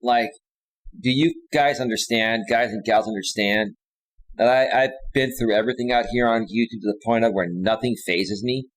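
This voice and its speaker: A man's voice: mid-range voice, normal speed, rough recording. A man hosting a live stream, talking off the cuff and casually, with slangy, repetitive, insistent direct address. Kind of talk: casual off-the-cuff livestream monologue.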